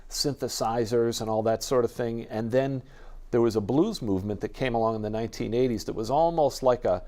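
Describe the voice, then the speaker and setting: A man's voice: medium pitch, a little fast, dark tone. A man speaking as a music expert guest in an informative, enthusiastic interview, explaining things at length in a discursive way. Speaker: radio jazz expert guest.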